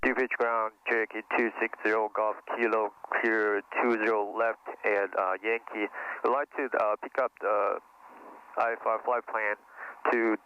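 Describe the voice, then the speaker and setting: A man's voice: fairly low pitch, little pitch movement, a little slow, clean tone. A man making a pilot's radio calls and readbacks in procedural aviation phrasing. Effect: cockpit aviation radio. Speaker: private pilot. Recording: dull cockpit intercom and radio audio.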